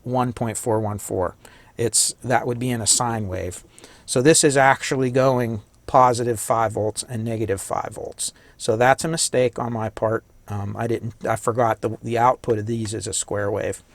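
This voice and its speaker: A man with a slightly hoarse voice giving a casual hands-on electronics tutorial, thinking aloud and correcting himself as he works. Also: mid-range voice, dry recording. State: slightly hoarse, losing his voice. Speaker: electronics hobbyist demonstrating a kit.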